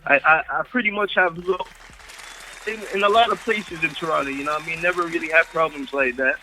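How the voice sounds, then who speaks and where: A young man with a strong Jamaican accent talks easygoingly as an interview guest, and he leans on "you know what I mean" again and again. His voice sits a little high and sounds very bright and forward. Faint music plays underneath. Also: steady tone, brisk, low-quality recording. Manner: laid-back, keeps saying "you know what I mean". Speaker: guest artist. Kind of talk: casual interview chat.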